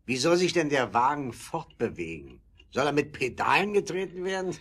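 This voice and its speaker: A man acting a skeptical, amused businessman in a German audio drama, husky and sardonic. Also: fairly high pitch, big pitch swings, moderate pace, fairly bright tone. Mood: mocking, amused. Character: mocking, skeptical printing-shop owner, amused gentleman.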